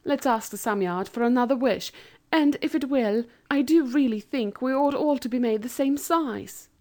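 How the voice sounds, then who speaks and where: A woman's voice: high voice, moderate pace, a little mellow, voice pushed up. A woman voicing an earnest, fair-minded young girl who pleads with the others. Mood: pleading.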